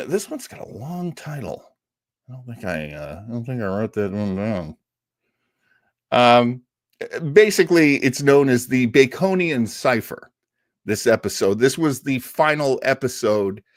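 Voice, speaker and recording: Animated, rambling man hosting informally. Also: mid-range voice, no room sound, clean studio sound. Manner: rambling, enthusiastic storyteller. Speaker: host of an old radio and film show. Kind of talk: informal, unscripted talk on film history.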